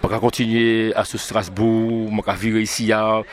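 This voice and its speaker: A man from Martinique, a musician guest, talking in a halting way and repeating his words often, recorded outdoors in a city. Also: on the low side, level tone. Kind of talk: casual interview chat.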